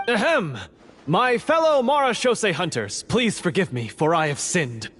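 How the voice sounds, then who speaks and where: An actor playing a penitent hunter in a video game, earnest and hesitant, at times theatrically grand. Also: male voice, high-pitched, sing-song, unhurried, fairly bright tone, slight background noise, full character voice. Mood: theatrically contrite. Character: penitent male hunter, a scriptwriter turned role player. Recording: music behind the voice.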